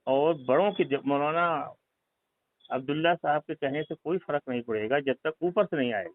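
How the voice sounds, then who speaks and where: A man's voice: on the high side, normal speed, dry recording. Insistent man on a tinny phone line. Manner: insistent, probing, repeats leading questions. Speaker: caller questioning a religious group member. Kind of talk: phone conversation, religious argument. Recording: tinny narrowband phone call recording.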